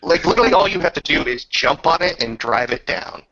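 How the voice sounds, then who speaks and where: A man's voice: on the high side, animated, brisk, pushed and bright, no room sound, lo-fi sound. A man casually running a tabletop roleplaying game as game master, giving rules calls and playing up the dramatic moments.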